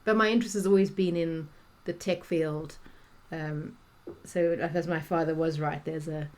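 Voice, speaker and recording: A woman's voice: low voice, fairly even intonation, a little slow, a little mellow. A middle-aged South African woman, a guest coach chatting on a podcast, talking freely with many restarts and fillers. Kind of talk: podcast interview conversation.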